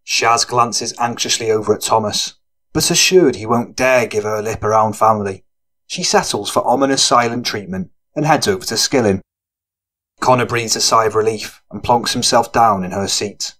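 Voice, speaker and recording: Lowish-voiced male audiobook narrator. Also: moderate pace, a bit bright. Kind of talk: scripted audiobook narration of gritty fiction.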